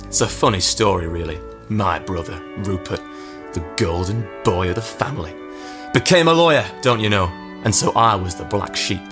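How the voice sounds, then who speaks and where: An actor playing a vain, self-satisfied 1980s funeral director delivering a pompous, joking monologue as a comic radio advert. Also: male voice, low-pitched, animated, normal speed, over music. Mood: smug, jokey.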